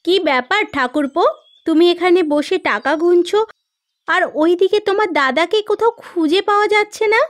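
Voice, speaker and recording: A woman's voice: extremely high pitch, moderate pace. A woman voicing a distressed, indignant wife in a melodramatic cartoon, pleading over music. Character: distressed, indignant wife of missing farm owner.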